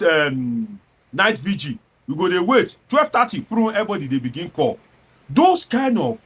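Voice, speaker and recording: A Nigerian man giving informal commentary in an animated way, explaining with examples and rhetorical questions and slipping into Pidgin. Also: high-pitched, slightly rough. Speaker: political commentator.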